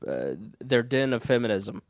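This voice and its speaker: A man's voice: mid-range voice, very animated, normal speed, no room sound, studio quality. A young man with a cold and a slightly phlegmy voice, co-hosting a casual podcast with jokes, reading news aloud now and then. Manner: laid-back, joking, reads news items aloud. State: getting over a cold, phlegmy. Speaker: podcast co-host. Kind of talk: casual chat.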